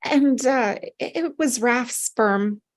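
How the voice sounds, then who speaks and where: A fairly high-pitched female doctor, played by a woman at a table read, anxious and flustered. She speaks apologetically, as a figure in a TV drama script. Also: slow pace. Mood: anxious, confessing. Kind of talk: acted script reading.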